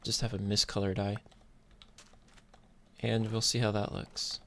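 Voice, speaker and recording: A man's voice: fairly low pitch, monotone, a little slow, fairly bright tone. A man giving casual gameplay commentary in a laid-back, hesitant way, thinking aloud as he goes. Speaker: gaming YouTuber. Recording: noisy mic, clicks and typing.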